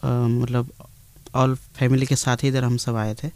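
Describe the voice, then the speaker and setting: A man answering interview questions in a halting, modest, conversational way. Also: medium pitch, little pitch movement, a little mellow. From India. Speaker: guest singer being interviewed.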